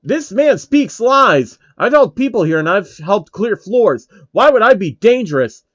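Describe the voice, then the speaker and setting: A man's voice: high voice, sing-song, unhurried, a bit bright, dry recording, muffled recording, pitched above the performer's own voice, exaggerated voice. A man voicing a cocky young male swordsman hero with a casual, defensive manner in a fan fiction story.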